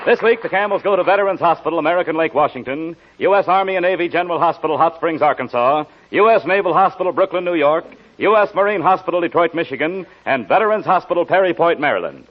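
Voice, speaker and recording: A man's voice: fairly high pitch, lively intonation, quick pace. A man reading sponsor announcements in scripted 1940s radio style. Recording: muffled old radio, faint crowd and noise.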